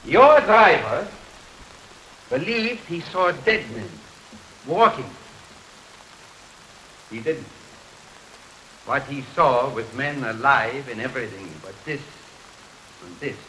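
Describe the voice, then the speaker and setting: An actor in a 1930s film playing an earnest older man who explains dark matters haltingly and emphatically, repeating his phrases. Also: medium pitch, lively intonation, low-quality recording. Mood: emphatic, explaining. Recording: hissy 1930s film soundtrack under rain. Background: rain and thunderstorm ambiance.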